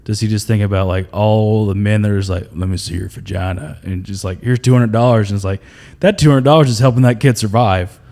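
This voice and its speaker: A comedian guest with a Southern US accent, from North Carolina, chatting casually on a podcast in a plainspoken, easygoing way. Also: male voice, fairly low pitch, fairly quick, a little mellow.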